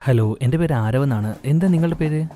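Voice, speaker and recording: A man's voice: mid-range voice, a little fast, a little hiss or hum, close to an everyday voice. A man voicing a kind young husband who coaxes and reassures his wife. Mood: friendly. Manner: gentle, coaxing.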